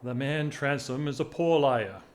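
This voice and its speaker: A man acting a whimsical, restless gentleman in an audio drama, with theatrical, wordy, teasing formality. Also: mid-range voice, a little slow, close to an everyday voice. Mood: teasing, mock-formal. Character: whimsical, restless English gentleman, an aristocrat in love.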